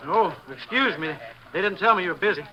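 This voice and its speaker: A man's voice: high-pitched, brisk, close to an everyday voice. A man playing an obliging, chatty, folksy farm neighbour in a 1950s radio drama. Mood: polite. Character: obliging, busy farm neighbour giving an alibi. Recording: muffled 1950s radio recording.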